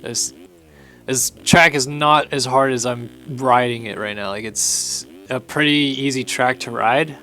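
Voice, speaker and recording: A young man hosting a casual video walkthrough, his voice hoarse and raspy from having lost it, chatty and upbeat. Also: medium pitch, bright, forceful tone. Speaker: host. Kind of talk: casual game track walkthrough commentary.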